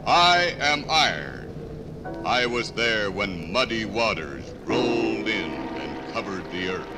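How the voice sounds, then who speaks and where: An American man playing ancient iron itself in a grave, declamatory 1950s radio drama monologue with dramatic pauses. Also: lowish voice, very animated. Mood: grand, solemn. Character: iron itself, ancient, solemn, patient elemental narrator. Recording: 1950s radio transcription under loud music.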